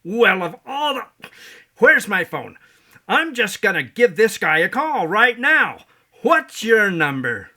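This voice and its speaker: An actor playing a grouchy, pompous middle-aged business executive in a stage play, grumbling, weary and sarcastic. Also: male voice, high-pitched, very animated, slow, bright, forceful tone, full character voice. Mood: sarcastic, suspicious. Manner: grumbling, indignant, muttering, sarcastic. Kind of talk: theatrical stage-play monologue and dialogue.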